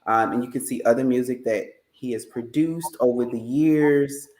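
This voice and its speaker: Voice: medium pitch, fairly even intonation, moderate pace, darkish tone, slight background noise. Echoey, effusive podcast host. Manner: effusive, addresses listeners directly. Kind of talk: casual interview chat. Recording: roomy, echoey sound.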